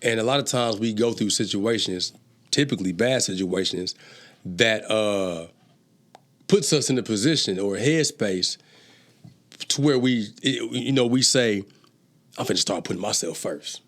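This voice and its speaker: A man's voice: lowish voice, moderate pace. A man, a rapper and entrepreneur, talking as the guest in a casual conversation, telling stories with profane street slang and stammering through restarts. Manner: stammers on restarts when excited.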